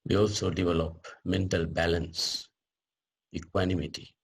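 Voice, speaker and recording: A man leading a guided meditation as a teacher, speaking deliberately in short phrases with pauses between them. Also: deep voice, flat delivery, vocal fry, breathy edge.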